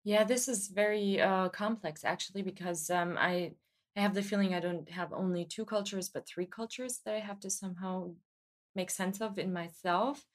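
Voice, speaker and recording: A woman's voice: medium pitch, normal speed. A woman from Germany speaking casually and reflectively as an interview guest, hesitantly searching for her words. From Freiburg, Germany.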